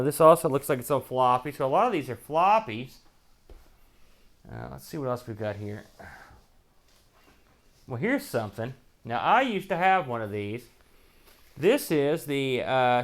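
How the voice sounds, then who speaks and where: A man's voice: mid-range voice, sing-song, unhurried, long pauses. Casual, rambling man hosting an unboxing. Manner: rambling, talks to himself while handling items. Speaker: host of a retro computer show.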